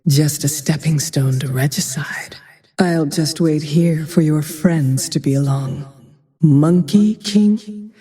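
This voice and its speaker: A cold, haunted huntress from a fantasy video game, speaks in a dark, mellow, breathy tone. Her delivery is slow and deliberate, deadpan with quiet menace. A long reverb trails her vengeful lines. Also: low-pitched. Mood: cold, dismissive.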